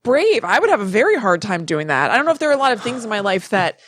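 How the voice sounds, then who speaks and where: A woman's voice: medium pitch, big pitch swings, quick pace, a little hiss or hum. A woman co-hosting a podcast, chatting casually and informally. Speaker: podcast co-host. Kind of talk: casual chat.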